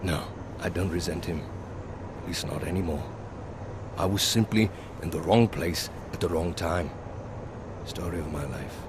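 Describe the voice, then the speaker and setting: A weary, bitter pilot, played by a man, trading dry, sardonic lines in a game cutscene. His fairly low voice sinks at the ends of phrases, and a steady engine hum rumbles behind him. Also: normal speed, natural voice. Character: weary middle-aged male pilot, wry and bitter. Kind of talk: video game cutscene dialogue.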